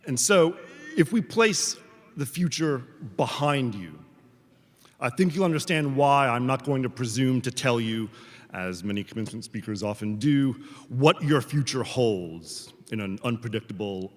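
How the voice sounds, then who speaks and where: A man delivers a formal commencement address as a professor, speaking in measured, deliberate phrases with rhetorical pauses. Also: medium pitch. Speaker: professor giving a commencement speech. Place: large hall at a graduation ceremony. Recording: reverberant large hall, amplified speech.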